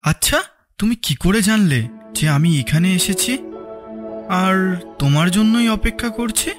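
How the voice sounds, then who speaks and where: A man's voice: sits high, measured pace. A man playing an eager, lovelorn young man in a cartoon drama, earnest, pleading and emotional. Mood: anxious, questioning. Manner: pleading, excitable, emotional delivery. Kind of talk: dramatic audio story acting. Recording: music under the voice.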